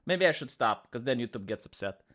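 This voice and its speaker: Squeaky woman with a Romanian accent. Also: animated, a little fast. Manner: sarcastic, rambling, many restarts. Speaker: commentary channel host. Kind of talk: sarcastic political commentary.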